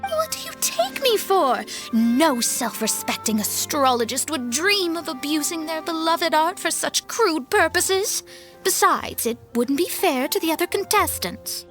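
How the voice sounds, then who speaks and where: A woman voicing a proud young female astrologer with a self-important, formal, precise manner in an animated game. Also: extremely high pitch, a little slow, faint music behind, exaggerated voice. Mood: indignant, proud.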